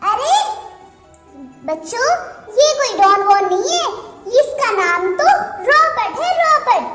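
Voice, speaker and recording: A woman's voice: extremely high pitch, big pitch swings, takes it slowly, exaggerated voice. A woman voicing a bubbly little girl puppet who hosts a children's puppet show. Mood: indignant. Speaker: co-host. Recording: echoey, music behind. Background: music.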